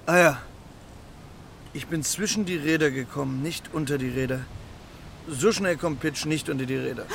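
Street pigeon for children's radio drama: higher-set voice, cocky, streetwise, clipped delivery, some ambient noise. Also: male voice, normal speed, vocal fry. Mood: cocky, dazed. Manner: swaggering, clipped, colloquial. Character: cocky scrappy male street pigeon, boastful and gruff-hearted. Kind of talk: children's radio play acting.

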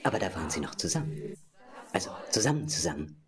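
A radio play narrator with a very deep voice and hugely varied intonation. She talks to the listener in emphatic, repeating fragments, with other voices audible behind her. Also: big pitch swings, fast, crowd noise. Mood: reflective, emphatic.

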